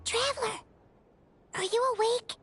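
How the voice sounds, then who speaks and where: A woman voicing a small, chirpy, childlike fairy companion in an animated game, with music behind her. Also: extremely high pitch, measured pace. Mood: bubbly, eager. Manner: refers to self in third person.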